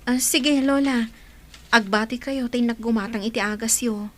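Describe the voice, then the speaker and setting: A woman's voice: a little high, fairly even intonation, normal speed, natural voice. A woman playing a caring, earnest young grandchild in a radio drama, coaxing and pleading as she talks. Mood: caring, worried. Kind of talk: Ilocano radio drama acting.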